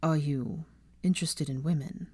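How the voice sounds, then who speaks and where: A woman playing a guarded, insecure young man in a storybook reading, speaking in a careful, halting way. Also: deep voice, dark tone, breathy edge, dry recording. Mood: tentative. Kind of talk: dramatised audiobook character dialogue.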